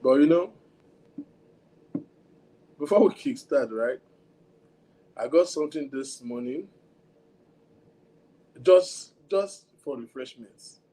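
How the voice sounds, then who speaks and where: A man's voice: mid-range voice, animated, slow, pauses often, mellow, low-quality recording. A man with a Nigerian accent hosting a casual livestream, rambling and talking straight to his viewers, with what he calls a big voice. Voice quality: self-described big voice. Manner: rambling, code-switching, chatty, talks to viewers directly. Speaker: livestream host.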